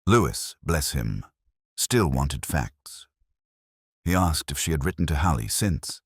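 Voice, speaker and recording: A man reading a scripted first-person detective story in a brooding, measured, literary manner. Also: a bass voice, expressive, husky, no room sound, studio quality. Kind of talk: scripted literary mystery narration.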